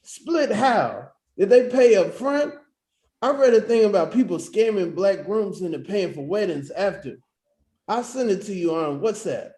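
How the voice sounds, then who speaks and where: A man's voice: high-pitched, animated, measured pace, warm tone. A man acting a brash, teasing relative of a groom in a comedy table read, casual and slangy, in an echoey room. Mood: suspicious.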